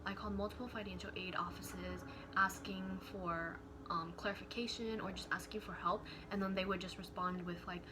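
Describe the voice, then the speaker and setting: Young woman student, candid and chatty, mid-pitched, noisy background. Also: steady tone, a little fast. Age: young adult. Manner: candid, frank, with filler words. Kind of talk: casual personal account. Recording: noticeable background noise.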